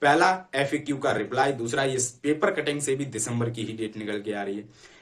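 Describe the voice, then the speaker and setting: A man explaining things informally to students as an online exam-prep educator, urging them directly and often checking that they follow. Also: medium pitch. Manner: repeatedly checks understanding with short tag phrases.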